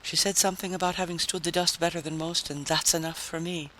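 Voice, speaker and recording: A cynical wasteland drifter from a pulp story, voiced by a man reading aloud. He is forceful and theatrical, with a cruel, blunt snarl and some hiss behind him. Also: on the high side, steady tone, quick pace, poor audio. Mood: urgent.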